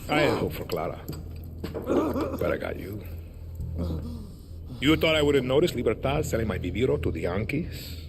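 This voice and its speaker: An actor playing a controlled, brooding middle-aged dictator and father in a video game, with a Latin American Spanish accent and a measured delivery that drops into menacing near-whispers. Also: medium pitch, wildly swooping pitch, noisy background. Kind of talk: cinematic video game acting.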